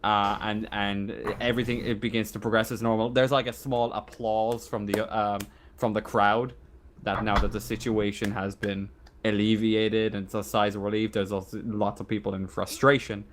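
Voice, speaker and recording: A man improvising narration as game master of a tabletop roleplaying game, speaking informally and haltingly. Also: fairly low pitch, level tone, slight background noise.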